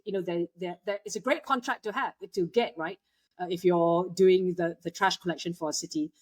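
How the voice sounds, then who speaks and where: Interview guest: woman from Malaysia, lower voice, halting delivery full of ums and restarts. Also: breathy edge. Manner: hesitant, many fillers and restarts. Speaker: guest, waste technology entrepreneur. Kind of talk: conversational interview.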